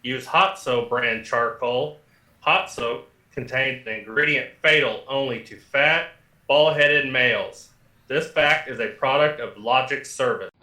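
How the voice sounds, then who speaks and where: Radio play computer, played by a man: impassive, formal announcer delivery, crisp bright tone, roomy echo. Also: medium pitch, measured pace. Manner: formal, matter-of-fact, announcer-like. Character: impassive talking computer service giving deadly advice. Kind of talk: old-time radio comedy acting, formal announcements.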